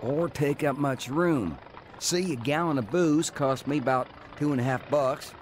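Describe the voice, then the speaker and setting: A man's voice: fairly high pitch, a little slow, slight background noise, natural voice. A man playing a cocky young criminal in a radio drama, speaking in a brash, slangy way. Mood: cocky, confiding. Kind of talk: old-time radio drama acting.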